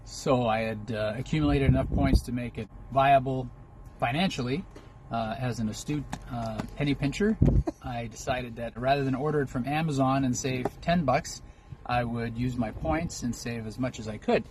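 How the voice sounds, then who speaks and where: A man's voice: medium pitch, normal speed. Folksy man chatting over vehicle noise. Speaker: trucker vlogger. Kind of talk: casual unboxing vlog chat. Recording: clear background noise, vehicle ambience.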